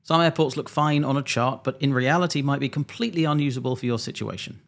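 A man around forty, an airline pilot, presenting a scripted explainer in a measured, methodical, slightly dry way, close to a desk microphone. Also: mid-range voice, creaky. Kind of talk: scripted explainer narration to camera. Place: small home studio indoors.

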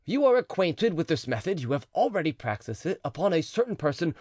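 A man's voice: on the high side, very animated, fairly quick, a little mellow, no echo, clean studio sound, pitched above the performer's own voice. A man reading the part of a desperate, anguished nobleman who pleads emotionally. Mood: pleading. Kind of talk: audiobook character reading.

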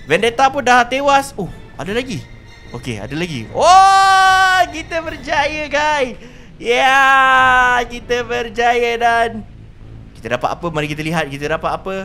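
A man with a Malaysian accent hosting a gaming video, giving excited, theatrical and repetitive role-play commentary on his play. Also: very high voice, measured pace, soft background music.

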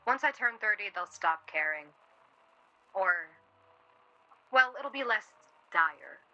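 A woman's voice: mid-range voice, expressive, takes it slowly, bright, forceful tone, dull audio, exaggerated voice. An actress playing a wry, teasing, affectionate composer in her late twenties in a naturalistic radio play.

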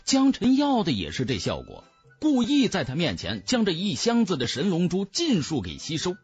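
A man reads a fantasy novel as dramatic scripted narration. His voice is a little high and moves very widely in pitch. Faint music sits behind him. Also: huge pitch swings, moderate pace, darkish tone, breathy edge. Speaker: audiobook narrator.

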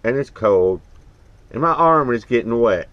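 A man's voice: medium pitch, lively intonation, measured pace, no echo. A middle-aged man, a truck driver, talking to the camera from his cab in an easygoing, plainspoken, rambling way. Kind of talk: casual vlog narration. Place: inside a truck cab and outdoors beside it. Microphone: action camera mounted in the cab. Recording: dull camera audio with road noise.

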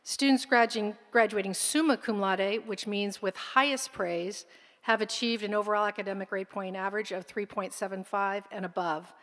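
A woman's voice: mid-range voice, fairly even intonation, moderate pace, a bit bright. A woman at a podium formally announcing academic honors at a graduation ceremony in a large hall. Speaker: school administrator announcing honors. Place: large concert hall stage. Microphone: podium microphone. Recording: long hall reverb, faint crowd.

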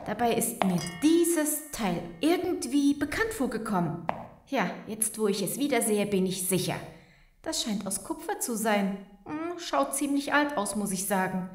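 A woman playing a sharp-witted, curious heiress in an audio drama, politely suspicious, thoughtful and quick to challenge. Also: on the high side, big pitch swings. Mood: curious, musing. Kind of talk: German audio drama acting. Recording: roomy, echoing sound.

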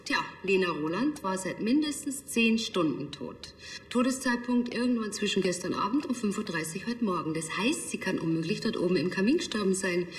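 An actress playing a brisk, sarcastic forensic pathologist in a TV crime comedy, matter-of-fact in manner. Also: female voice, medium pitch, animated, roomy sound, big-room sound, lightly acted. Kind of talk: naturalistic TV crime comedy acting.

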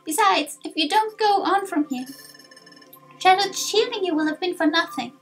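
Woman voicing a very high-pitched, earnest creature guildmaster. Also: normal speed, faint music behind. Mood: firm, solemn. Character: sincere, emotional pink creature guildmaster, childlike and high.

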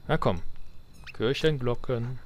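A man's voice: medium pitch, takes it slowly, slight background noise. A man giving casual let's play commentary, muttering and thinking aloud to himself. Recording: game music behind the voice. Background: video game music.

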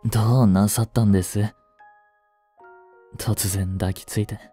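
A man voicing a devoted, protective young butler in a romantic voice drama, frantic while searching a fire and soft and tender at a bedside. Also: lowish voice, fairly even intonation, unhurried, faint music behind. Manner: soft, gentle and intimate in the bedside scene.

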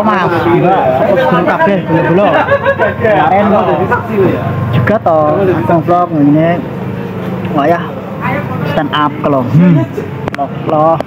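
Young man vlogging outdoors. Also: a little high. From Indonesia. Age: young adult. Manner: loose, rambling, mixes in local slang. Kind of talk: casual vlog chat. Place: outdoor cafe terrace with bamboo blinds. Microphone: handheld phone camera held close. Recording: muffled phone audio, loud outdoor traffic.

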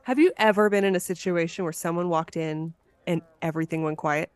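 A woman's voice: fairly low pitch, fairly quick, clear, resonant tone, no room sound. A woman with an American accent hosting a casual podcast conversation. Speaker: podcast host.

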